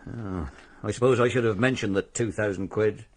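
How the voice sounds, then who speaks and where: Husky, shifty, resentful uncle. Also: male voice, fairly low pitch, steady tone, normal speed. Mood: defensive, resentful. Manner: defensive, grudging. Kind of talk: radio drama acting.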